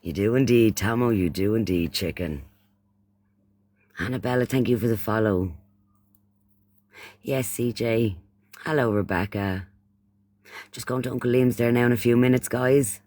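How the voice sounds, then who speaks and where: A man's voice: mid-range voice, normal speed, pauses often, darkish tone, no echo. A man from Ireland with an Irish accent hosting a casual livestream chat, often repeating phrases and using pet names for those he addresses. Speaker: livestream host.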